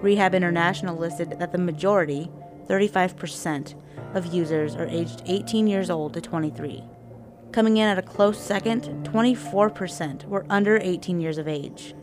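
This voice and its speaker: Lowish woman narrating news report. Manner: measured, informative delivery. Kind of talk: scripted narration. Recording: voice-over with music bed.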